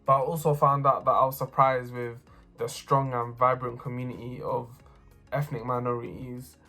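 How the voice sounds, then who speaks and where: Young man, student, steady monotone testimony, faint music under it. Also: medium pitch, moderate pace. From North London. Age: young adult. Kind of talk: personal testimony to camera.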